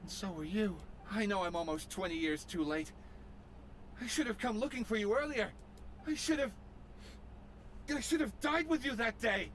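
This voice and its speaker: A high-pitched male voice, heavy with guilt and grief, pleading in dramatic video game style. Clear ambient noise sits behind him. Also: moderate pace, pauses often, fairly bright tone. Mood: remorseful. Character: grieving, guilt-ridden man begging a lost loved one.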